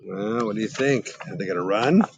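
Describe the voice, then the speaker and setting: A man's voice: on the low side, fairly quick, gravelly, a little hiss or hum. A middle-aged man reading a children's story aloud expressively, with dramatic questioning asides to young listeners. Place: outdoors in a garden.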